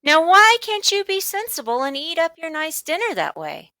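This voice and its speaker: A woman's voice: very high-pitched, wildly swooping pitch, moderate pace, sharp tone, no room sound, studio quality, pitched above the performer's own voice, full character voice. A woman voicing a doting but exasperated mother in a children's storybook, with a sing-song, coaxing manner. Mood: coaxing, chiding.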